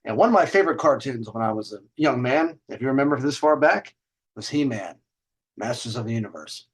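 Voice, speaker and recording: Middle-aged male host, medium pitch, casual storytelling, rambling asides, informal and salty. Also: expressive, fairly quick, breathy edge. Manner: informal, swearing, conversational asides. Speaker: host of a dating and lifestyle video segment.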